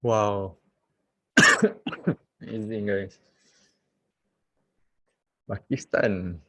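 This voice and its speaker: A man teaching trading on a live video call, chatty and relaxed, laughing and talking straight to his viewers. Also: lowish voice, very slow. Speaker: trading coach. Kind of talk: casual live-stream trading lesson.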